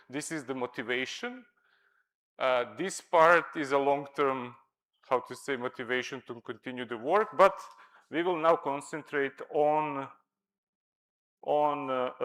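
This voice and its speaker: A man whose first language is Serbo-Croatian, giving a scientific conference talk, halting with many fillers and self-corrections. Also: mid-range voice, sharp tone, clear, resonant tone. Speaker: conference speaker. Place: lecture hall at a conference.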